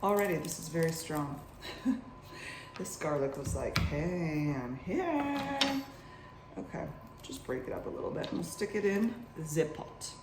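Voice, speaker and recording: A young woman with a husky morning voice, chatting playfully to viewers in a cook-along vlog from her kitchen. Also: low voice, expressive, unhurried, noisy background. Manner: bubbly and playful, talks to viewers while cooking. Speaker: cooking vlogger. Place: home kitchen. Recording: echoey kitchen.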